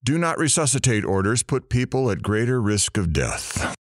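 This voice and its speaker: A man's voice: medium pitch, big pitch swings, normal speed, dry recording. Man playing sarcastic DJ, wisecracking, very varied intonation, dry close sound, audio drama. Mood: wry. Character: wisecracking, sarcastic male radio talk show host. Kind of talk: audio drama acting as radio banter.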